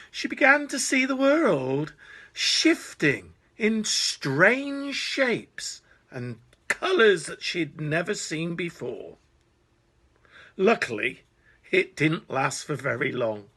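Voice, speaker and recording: A man reading a children's bedtime story with an expressive, deliberate delivery. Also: fairly high pitch, breathy edge.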